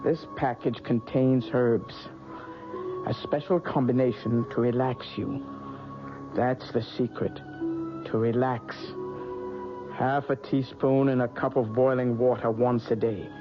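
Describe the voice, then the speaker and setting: A man's voice: medium pitch, steady tone, measured pace, over music, natural voice. An actor playing Mother Love, a creepy old fortune teller. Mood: sinister coaxing. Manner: soft, creepy, coaxing. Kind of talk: 1970s radio drama acting. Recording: muffled old radio broadcast.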